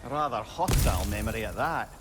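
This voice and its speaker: A man's voice: lowish voice, animated, brisk, very noisy, natural voice. A man playing a clever, talkative old advisor in a video game, with a Scottish accent and a wry, droll manner. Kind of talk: cinematic video game acting.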